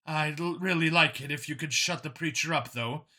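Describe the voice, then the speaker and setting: A man's voice: fairly high pitch, moderate pace, sharp tone. A man voicing a brusque female combat squad leader on comms in an audiobook. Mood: weary, annoyed. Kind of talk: audiobook character voice.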